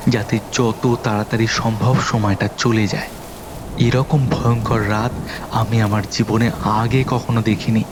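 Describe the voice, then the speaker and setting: A man's voice: lowish voice, noisy background. A man narrating a horror audio story in the first person, with a suspenseful, dramatic delivery. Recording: voice over background music.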